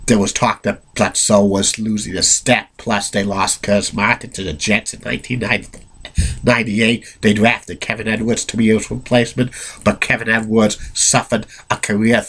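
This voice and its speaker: A low-voiced man with a cold talks off the cuff, his tone gravelly and a bit husky. He rambles through restarts and fillers and gives blunt opinions. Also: level tone, normal speed, fairly bright tone. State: has a cold. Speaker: amateur sports history narrator. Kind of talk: unscripted solo narration.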